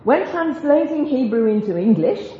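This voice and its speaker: A woman's voice: high-pitched, big pitch swings, moderate pace, a little hiss or hum. A woman giving an informal Bible teaching talk to a group, chatty and self-deprecating, with joking asides. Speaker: speaker teaching a group about biblical Hebrew. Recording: roomy, dull lo-fi recording of a talk.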